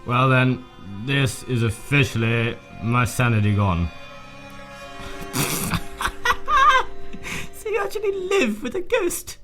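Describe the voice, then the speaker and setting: Radio drama part, female performer: exasperated, scornful sceptic, extremely deep for a woman, slow, dramatic delivery. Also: big pitch swings, music in the background, full character voice. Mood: exasperated, incredulous.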